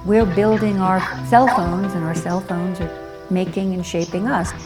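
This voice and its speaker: A woman offers reflective interview commentary for a documentary. Her voice is a little low, with music clearly audible behind her. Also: fairly even intonation, unhurried.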